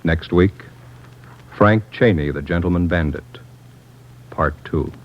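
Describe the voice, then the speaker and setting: A man announcing a 1950s radio crime series in a grave, measured, formal way. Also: sits low. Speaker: show announcer. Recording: dull old radio recording with loud music.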